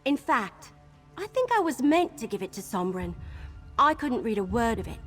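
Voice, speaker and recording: A woman's voice: fairly high pitch, big pitch swings, normal speed, a bit bright, soft background music. A woman playing an upbeat, eager adventuring companion in a fantasy game, chatty and enthusiastic. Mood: upbeat, relieved. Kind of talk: scripted fantasy game dialogue.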